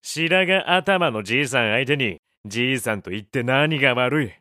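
Blunt, cheeky middle-aged cafe owner. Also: male voice, mid-range voice, lively intonation, normal speed, bright, forceful tone, no room sound, clean studio sound. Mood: retorting. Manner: blunt, offhand, retorting. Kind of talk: Japanese audio drama acting.

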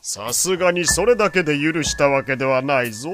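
A man's voice: fairly high pitch, lively intonation, brisk, fairly bright tone, slight background noise. An actor playing a proud, doting, wealthy old father who talks in pompous old-fashioned speech in an audio drama. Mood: fond, proud. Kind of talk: Japanese audio drama acting.

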